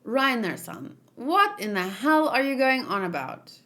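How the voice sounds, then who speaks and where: An angry teenage soldier from a manga, acted out by a woman reader. He is confrontational and incredulous, pushing up in pitch and volume. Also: huge pitch swings, unhurried, bright, forceful tone, heavily acted.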